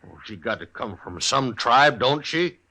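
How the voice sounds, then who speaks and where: A man's voice: mid-range voice, animated, unhurried, bright, forceful tone, full character voice. An actor playing a huge, proud mountain man in a radio western, speaking bluntly in a rustic frontier drawl. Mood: defensive, indignant. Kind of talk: old-time radio western acting.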